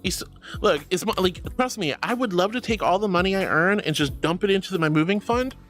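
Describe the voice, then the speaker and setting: A woman's voice: mid-range voice, expressive, brisk, soft background music. A woman streamer chatting casually with her viewers, rambling and giggly, often thanking them. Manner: rambling, giggly, talks straight to chat. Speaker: VTuber streamer. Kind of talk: casual livestream chat.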